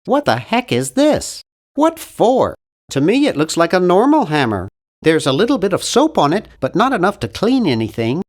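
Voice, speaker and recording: A young, timid nerd from a cartoon adventure game. His pitch sits high for a man and swings widely from phrase to phrase. He sounds prim and bookish, with a wry, fussy edge. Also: wildly swooping pitch, moderate pace. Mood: wry, matter-of-fact. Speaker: game character voice actor.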